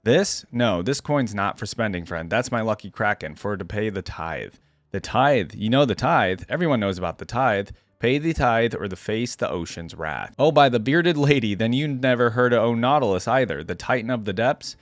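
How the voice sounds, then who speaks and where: A man's voice: on the low side, animated, moderate pace, dry recording, studio quality, natural voice. Man reading as a weathered old sailor spinning tales. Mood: chatty. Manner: rustic pirate-like phrasing, conversational. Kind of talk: dramatic reading of a first-person sea tale.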